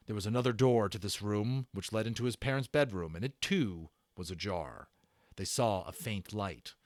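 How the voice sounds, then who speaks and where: A man's voice: low voice, no room sound. A man giving a dramatic, suspenseful storybook narration in a theatrical manner.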